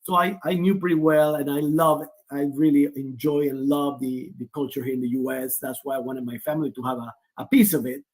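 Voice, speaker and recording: A man's voice: mid-range voice, fairly even intonation, brisk, hardly any pauses. A man in his forties, a guest on a remote video call who talks at length with many restarts. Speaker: guest, player's father. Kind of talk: casual interview.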